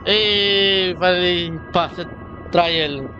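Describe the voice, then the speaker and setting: A man's voice: sits high, fairly even intonation, slow pace, pushed and bright, dull audio, faint music behind. A man livestreaming a game with casual chat and mumbled short reactions.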